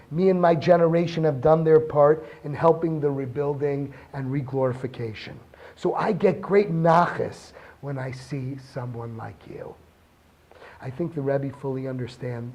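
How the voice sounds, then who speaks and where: A man's voice: a little high, fairly even intonation, normal speed, darkish tone, lo-fi sound. Earnest man telling a family story. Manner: earnest storytelling, sprinkled with Hebrew and Yiddish terms. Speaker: speaker giving a weekly Torah talk. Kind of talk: spoken Torah lesson with personal story.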